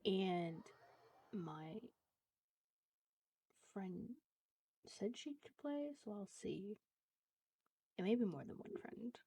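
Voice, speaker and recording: A woman's voice: medium pitch, animated, slow pace, long pauses, dark tone, breathy edge. A woman game streamer chatting casually and hesitantly, trailing off between thoughts. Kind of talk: casual livestream chat while gaming. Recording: dull lo-fi stream audio, music behind.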